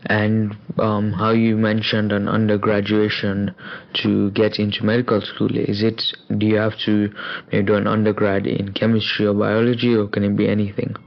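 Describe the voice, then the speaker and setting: A man's voice: on the low side, completely flat delivery, normal speed, vocal fry, dry recording. A young man hosting a career podcast interview, talking with hesitant fillers. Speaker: podcast host. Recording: dull lo-fi sound.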